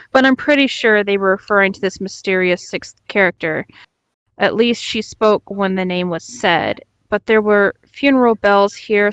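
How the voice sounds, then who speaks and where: A woman with a mid-pitched voice reads a story aloud as a co-host. The sound is dry, with no room around her. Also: fairly bright tone, smooth, no room sound, highs cut off. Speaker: co-host reading stories aloud. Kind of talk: read-along narration.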